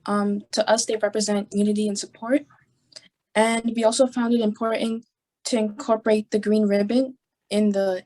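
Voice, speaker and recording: A woman's voice: on the high side, darkish tone. A young woman from New York giving a prepared student presentation in a composed, scripted way over a video call. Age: young adult.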